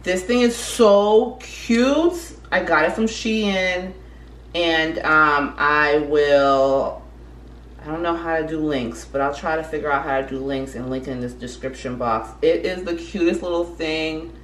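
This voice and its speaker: A woman's voice: low voice, measured pace. Chatty young woman vlogging at home. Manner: rambling, self-correcting, laughs at herself. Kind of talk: casual chatty vlog. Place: apartment kitchen and living room. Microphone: camera mic from a few feet away. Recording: echoey room, faint room noise.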